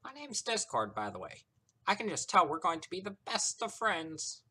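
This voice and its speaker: Guy doing a smug trickster dude for a story, sickly sweet teasing, higher pitched, swoops around a lot. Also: very animated, moderate pace, pushed and bright, husky, heavily acted. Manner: theatrical, teasing, over-the-top sweetness. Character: smug mischievous male trickster, mockingly sweet and theatrical. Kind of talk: character voice in narrated story.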